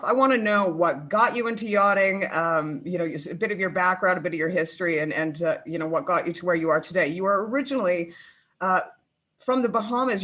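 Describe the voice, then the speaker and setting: A woman hosts an interview at a middle pitch, greeting her guest and leading him with long questions. She strings her questions together with you-knows and ums. Her delivery is chatty. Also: fairly bright tone. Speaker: host. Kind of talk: interview hosting.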